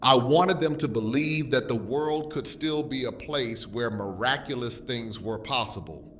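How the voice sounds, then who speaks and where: A man's voice: medium pitch, a little slow, a bit bright, slight background noise, lightly acted. A man playing a grave, grief-stricken fallen king who blames himself, speaking theatrically in an audio drama. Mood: bitter regret. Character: grief-stricken, self-blaming fallen king, formerly a zookeeper.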